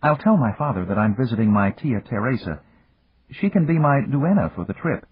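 A man's voice: medium pitch, very animated, a little fast, breathy edge, voice pushed up. A man voicing a dignified, eager young Venezuelan woman in an audiobook, speaking politely and formally with earnest spirit. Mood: excited. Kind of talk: audiobook character voice. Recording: echoey room, muffled narrow-band sound.

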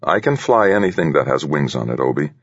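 Man voicing a terse female military pilot, gravelly. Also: very deep voice, sing-song, a little fast, lo-fi sound, heavily acted. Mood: terse, commanding. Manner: tight, clipped commands. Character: female Air Force lieutenant colonel pilot, terse, self-assured commander.

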